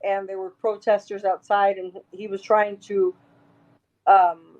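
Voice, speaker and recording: Raspy woman on a muffled line. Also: medium pitch, little pitch movement, a little slow, darkish tone. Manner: emphatic, rambling with restarts. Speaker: interview guest. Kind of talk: casual interview talk.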